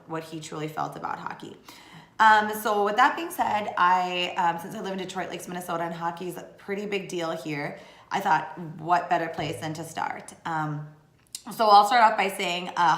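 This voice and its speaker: A woman's voice: on the low side, a bit bright. A woman in her thirties, originally from Sri Lanka, chatting casually to camera in a vlog, rambling with lots of fillers. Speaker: blogger and vlogger. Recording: echoey room.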